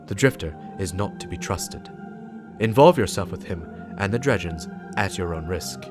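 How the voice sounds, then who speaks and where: Low, solemn man reading as a warlock investigator. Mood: warning. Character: grave, suspicious warlock investigator briefing a guardian. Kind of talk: dramatic reading of game lore. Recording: music behind the voice. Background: game soundtrack music.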